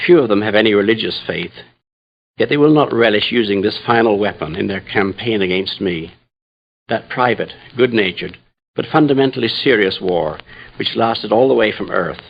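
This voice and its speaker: An actor playing a grave, troubled Jesuit astrophysicist in a radio drama, solemn and measured, with a gravelly, husky voice. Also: male voice, fairly low pitch, highs cut off. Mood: somber. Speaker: dramatic narrator. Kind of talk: first-person radio drama monologue.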